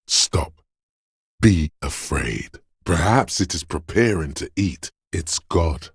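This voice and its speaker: A male actor voicing a menacing inner voice of primal fear and rage in a video game, narrating in a gravelly, husky, ominous, deliberate way. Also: very deep voice, no echo, clean studio sound, exaggerated voice. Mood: seething rage, then uneasy, watchful. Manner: ominous, slow, deliberate delivery. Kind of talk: dramatic second-person game narration.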